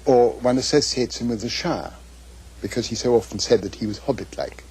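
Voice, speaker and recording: An older man with an English accent, reminiscing and reading letters aloud in a measured, emphatic, literary way. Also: medium pitch, darkish tone, husky. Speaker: author's son giving reminiscences and readings.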